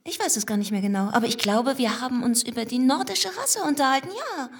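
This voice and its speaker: An actress playing a young, gushing yet wounded society wife in a radio drama, with a theatrical, drawn-out delivery. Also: female voice, high-pitched, expressive, breathy edge, dry recording, studio quality, heavily acted. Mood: playful, teasing.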